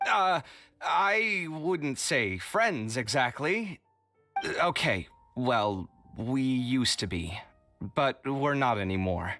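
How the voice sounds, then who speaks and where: A man's voice: mid-range voice, lively intonation, takes it slowly, sharp tone, full character voice. A man playing a dramatic, easily flustered young man in a video game, fussy and theatrical. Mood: awkward. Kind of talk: English video game character acting. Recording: game music behind the voice.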